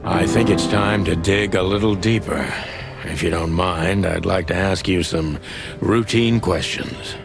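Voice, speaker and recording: A deep, gravel-throated rooster gumshoe, hardboiled and world-weary. He questions in a measured, wry film-noir game style, with music playing clearly behind him. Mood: cool, probing.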